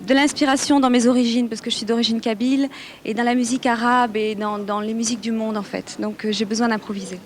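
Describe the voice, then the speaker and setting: A woman in her thirties from Algeria, a contestant singer, answering softly and hesitantly. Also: on the high side, fairly even intonation, fast talker. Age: 30s. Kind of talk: short interview answers.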